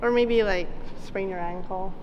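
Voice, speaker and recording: A woman chatting casually on a walk through dark ruins, teasing and laughing with her companion. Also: medium pitch, measured pace, lo-fi sound, a little hiss or hum. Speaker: exploring companion. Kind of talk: casual chat.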